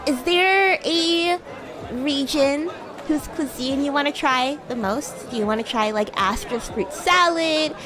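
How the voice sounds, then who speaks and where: A woman voicing a bratty, playful young demon schoolgirl in chatty livestream roleplay, with a teasing, giggly, halting manner. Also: sits high. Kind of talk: improvised in-character livestream roleplay chat. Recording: music and crowd murmur behind. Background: music and crowd ambience.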